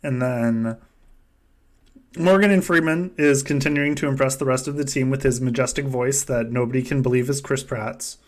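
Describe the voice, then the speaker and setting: A man's voice: mid-range voice, moderate pace. A man hosting a casual comedic recap with friends, swearing freely and often laughing through his sentences. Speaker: podcast host.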